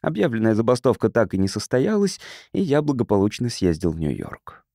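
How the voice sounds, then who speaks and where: Male narrator for literary audiobook; mellow, animated intonation, measured storytelling, dry close mic. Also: lowish voice, no room sound. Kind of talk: scripted literary narration, reading a science fiction story.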